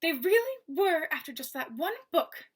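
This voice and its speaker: A woman voicing a strong, stern older woman who speaks in hushed, urgent whispers. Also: very high-pitched, expressive, moderate pace, voice pushed up. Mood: astonished.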